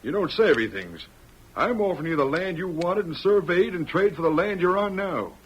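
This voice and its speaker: A man's voice: high-pitched, animated, normal speed, slightly rough, rough recording. An actor playing a greedy, wheedling male land swindler with speech, in 1940s radio style on an old recording.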